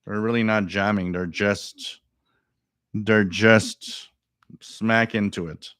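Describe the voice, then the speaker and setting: Male live-stream host, smooth and clear, dry close mic, rambling and musing, gets worked up over odd signals. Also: on the low side, fairly even intonation, slow pace, fairly bright tone, dry recording. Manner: musing, thinks aloud, repeats phrases. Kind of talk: casual live commentary.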